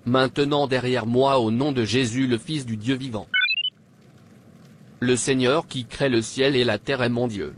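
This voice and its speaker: Man acting cartoon king, fervent convert, reverent, earnest, medium-pitched voice, faint noise. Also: fairly even intonation, a little slow, natural voice. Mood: joyful, resolute. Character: pagan king, a meek and fervent new convert. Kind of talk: scripted cartoon dialogue.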